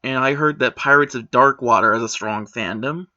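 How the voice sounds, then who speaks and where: A man's voice: medium pitch, normal speed, pushed and bright, highs cut off. A man giving opinionated commentary on a written post, critical and matter-of-fact with sarcastic asides.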